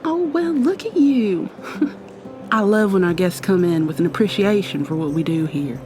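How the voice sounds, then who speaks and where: A woman's voice: mid-range voice, animated, moderate pace, darkish tone, faint music behind, close to an everyday voice. A woman playing a bubbly, welcoming ticket-desk attendant. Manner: bubbly, welcoming customer-service delivery. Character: friendly, chirpy woman working an aquarium ticket desk. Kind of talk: ASMR roleplay.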